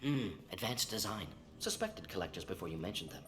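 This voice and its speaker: Rapid-fire clipped alien scientist doctor. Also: male voice, low-pitched, sing-song, fairly bright tone. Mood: clinical, matter-of-fact. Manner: clipped telegraphic sentences, matter-of-fact. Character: brisk, clever alien salamander-like scientist doctor, ex-operative. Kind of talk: video game dialogue acting.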